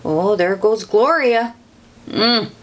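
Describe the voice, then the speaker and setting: A woman reading a picture book aloud to children in an expressive way, drawing out words and adding chatty asides for young listeners. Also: mid-range voice, takes it slowly.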